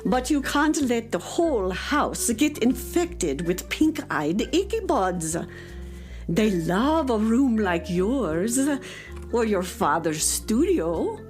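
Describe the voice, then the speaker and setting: A woman's voice: on the high side, sing-song, slow, darkish tone, soft background music. A woman playing a patient but firm cat mother in a children's radio play, nagging with exasperation. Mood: firm, lecturing.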